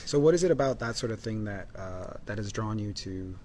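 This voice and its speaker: Low-voiced man, halting interviewer. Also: lively intonation. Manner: hesitant, many fillers and restarts. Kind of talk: casual interview questions. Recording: faint background noise.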